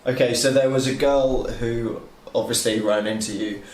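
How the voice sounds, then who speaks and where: A man's voice: mid-range voice, fairly even intonation, normal speed, a little mellow. Dry, exasperated man at the game table. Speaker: player. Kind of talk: casual tabletop play. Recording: echoey room, a bit distant.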